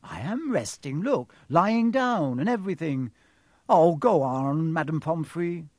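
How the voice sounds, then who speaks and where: Guy reading as young wizard boy in a storybook, earnest, thoughtful, full of questions, unhurried. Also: a little high, lively intonation, dry recording, clean studio sound, voice pushed up. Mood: pleading. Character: earnest, curious schoolboy wizard, thoughtful, sometimes pleading.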